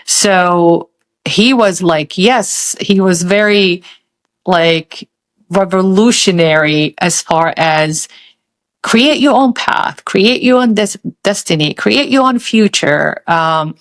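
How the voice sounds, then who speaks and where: Slow, low-voiced reflective woman. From Saudi Arabia. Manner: thoughtful, halting, many pauses and fillers. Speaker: podcast host. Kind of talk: reflective personal storytelling.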